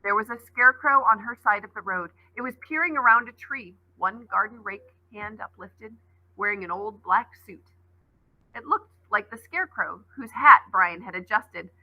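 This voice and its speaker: A woman's voice: mid-range voice, animated, moderate pace, no echo, lo-fi sound. A woman teacher reading a novel aloud expressively, adding brief asides.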